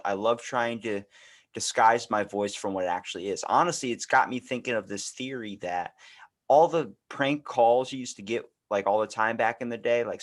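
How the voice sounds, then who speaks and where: An American man hosting a podcast, chatting casually in a talkative, rambling way. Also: mid-range voice. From United States. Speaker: podcast host. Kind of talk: casual chat.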